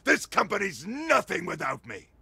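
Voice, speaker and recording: An actor playing a proud, entitled business tycoon in a video game, explosive and indignant. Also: male voice, high-pitched, huge pitch swings, normal speed, gravelly, bright, forceful tone, breathy edge, dry recording, exaggerated voice. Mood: enraged. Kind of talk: video game cutscene acting.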